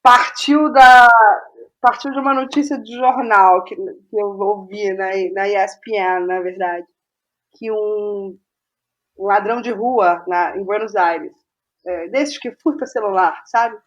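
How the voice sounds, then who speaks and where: A Brazilian woman in a casual interview as a guest playwright, speaking haltingly and repeating phrases as she thinks aloud. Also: medium pitch, a bit bright, rough recording.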